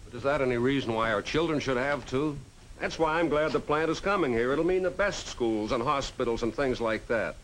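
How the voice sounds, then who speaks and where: An actor playing a civic-minded small-town mayor in a 1950s film drama, earnest, folksy and persuasive on an old soundtrack. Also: male voice, medium pitch, brisk, a bit bright, slight background noise, lightly acted, low-quality recording.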